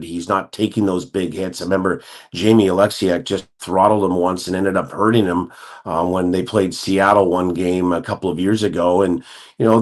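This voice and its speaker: A man, a guest hockey analyst, talking through the game in a measured, analytical way with frequent self-corrections. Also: low-pitched. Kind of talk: radio-style hockey talk.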